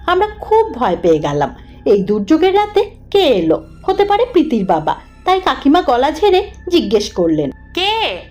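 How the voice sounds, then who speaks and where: A woman narrating a scripted horror cartoon story in the first person, dramatic and expressive. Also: high-pitched, moderate pace, soft background music.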